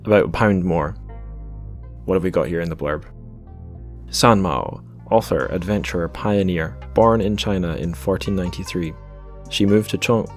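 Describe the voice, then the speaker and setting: A man's voice: low voice, a little mellow. A man hosting a podcast, talking informally and thinking aloud as he goes. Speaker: podcast host. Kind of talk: casual podcast news and chat.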